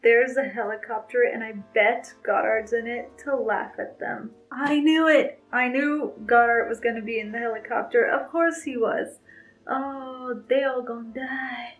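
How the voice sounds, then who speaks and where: A woman's voice: on the high side, steady tone, measured pace, faint music behind, rough recording. Chatty young woman vlogging about a book. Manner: emotional, gushing, lots of "like". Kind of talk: casual read-along vlog.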